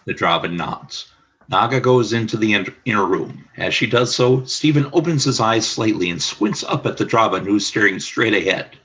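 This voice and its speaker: A man's voice: on the low side, expressive, normal speed, pushed and bright, rough recording. A man reading scripted stage directions as the narrator of a radio play.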